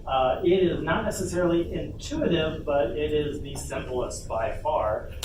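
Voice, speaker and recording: A man giving an informal instructional panel talk, speaking casually and haltingly with playful asides. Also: fairly high pitch. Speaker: workshop presenter on a convention panel. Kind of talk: informal instructional presentation to an audience. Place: convention panel room. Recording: noisy, echoey room, distant mic.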